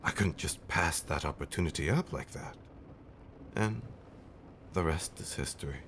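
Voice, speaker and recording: A man acting as an ancient, loving vampire husband with a low voice. He spins his tale theatrically in antique phrasing, teasing and tender, swinging between dramatic swells and hushed murmurs. It is an ASMR roleplay with faint ambience behind him. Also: lively intonation, normal speed, a little hiss or hum. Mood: wistful, fond. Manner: theatrical, old-fashioned wording, teasing, dramatic swells and whispers. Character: affectionate, theatrical centuries-old male vampire husband.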